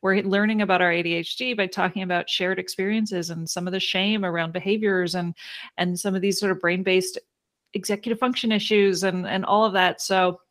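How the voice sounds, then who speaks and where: A woman coach introducing herself in a casual, reflective way, phrasing her thoughts haltingly. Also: mid-range voice, fairly even intonation, a bit bright. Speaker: ADHD coach for women. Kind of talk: casual personal introduction in a group conversation.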